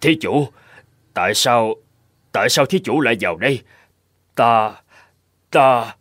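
A kindly, upright monk from a cartoon folk tale. His mid-pitched voice moves expressively as he speaks with formal, earnest courtesy. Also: animated, measured pace, a bit bright, no room sound. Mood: flustered. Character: kindly, upright adult Buddhist monk, easily flustered. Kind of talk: Vietnamese animated folk tale dubbing.

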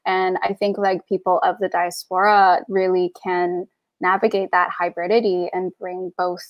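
A woman's voice: on the low side, little pitch movement, no room sound, dull audio. A woman in her thirties, originally from Taiwan and not an English speaker, speaking thoughtfully as co-host on a video call from her living room. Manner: thoughtful, measured, hesitant with "um". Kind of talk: reflective conversation. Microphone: earphone mic on video call.

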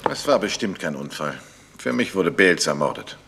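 A man's voice: low-pitched, big pitch swings, normal speed, vocal fry, noisy background, full character voice. An actor playing a composed male amateur detective in a German radio crime drama, asking probing, matter-of-fact questions. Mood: insistent. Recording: long reverberant hall.

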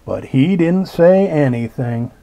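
Guy reading a kids picture book real slow, gravelly and kinda husky. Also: a little high. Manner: slow and expressive, comments on pictures. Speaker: storybook reader. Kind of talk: children's picture book read-aloud. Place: indoors at a table.

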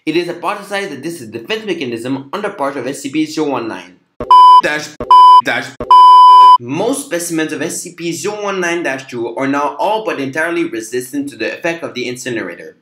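A man reading out a formal, clinical document in a measured, steady way. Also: on the high side, roomy sound, noisy background.

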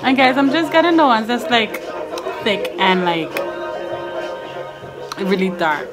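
A woman's voice: on the high side, sing-song, a little slow. Young woman vlogging, music behind her. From Trinidad. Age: 20s. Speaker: beauty vlogger. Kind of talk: casual chat to camera while doing makeup. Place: small indoor room with curtains and couch. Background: music playing.

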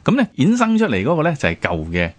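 A man's voice: a little high, huge pitch swings, fast, dry recording. A man from Hong Kong with a Hong Kong Cantonese accent discusses history conversationally, explaining points with rhetorical questions. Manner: explanatory, with rhetorical questions and frequent sentence particles. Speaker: radio programme presenter. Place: radio studio.

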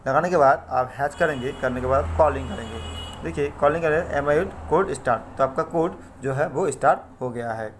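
A man's voice: fairly high pitch, normal speed. An Indian man hosting a casual tech tutorial, talking to viewers in a repetitive, pleading way. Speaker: tech tutorial channel host. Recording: noisy amateur recording with background ambience.

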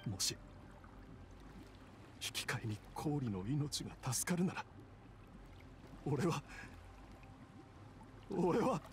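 A man's voice: fairly high pitch, sing-song, extremely slow, long pauses, a little hiss or hum, exaggerated voice. An actor playing an ailing young man in an anime game, earnest, wistful and resigned to sacrifice. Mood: resigned, sorrowful. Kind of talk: Japanese anime game acting. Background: background music.

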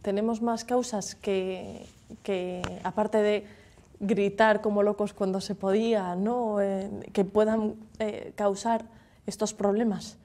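A woman in her thirties with a Galician Spanish accent, with a mellow, warm tone. She interviews in a conversational way, often closing her questions with a tag. She is recorded in a TV studio. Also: mid-range voice, flat delivery, slow.

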